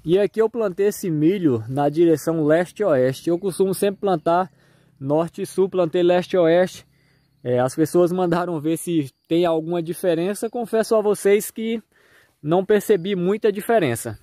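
A man's voice: sits high, normal speed, mellow, no room sound. A man with a Brazilian accent giving a casual tour of his farm to viewers, recorded outdoors on a handheld phone. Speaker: farmer and video host.

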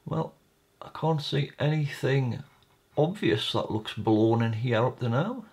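A man's voice: medium pitch, lively intonation, measured pace, darkish tone. A man hosting a repair video, casually narrating his hands-on work at a bench, thinking aloud in a tentative way. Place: indoor workbench.

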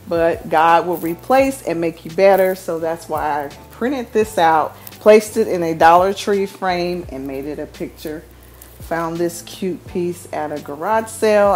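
A woman's voice: fairly low pitch, a little mellow. A woman in her thirties walking through her house, cheerfully showing off the decor. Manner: upbeat, gushing over decor. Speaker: vlogger giving a home tour. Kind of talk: casual home tour narration. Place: inside a house, walking room to room.

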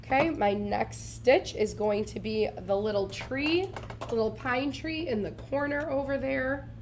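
A woman, slightly raspy from laryngitis, giving a chatty, upbeat live sewing tutorial in a studio. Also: sits high, a little slow, darkish tone, a little hiss or hum. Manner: chatty, upbeat, addresses viewers directly. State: recovering from lost voice. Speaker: embroidery demonstrator. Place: studio set with shelves, indoors.

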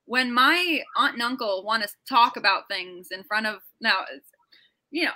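A woman's voice: a little high, expressive, moderate pace, pushed and bright. A woman in her thirties on a video call, telling stories animatedly and swearing casually. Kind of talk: casual chat. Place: home room on a video call.

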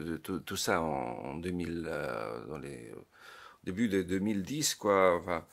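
Low-voiced hesitant man in his fifties. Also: vocal fry, a bit bright. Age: 50s. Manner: hesitant, repeats small words while searching. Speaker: psychologist, guest. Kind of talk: reflective interview talk.

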